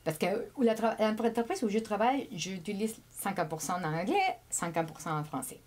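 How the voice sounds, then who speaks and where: Spanish-speaking woman, halting and chatty. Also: mid-range voice, lively intonation, a little mellow. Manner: hesitant, repeats words, laughs often. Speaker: immigrant guest. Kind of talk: casual interview chat.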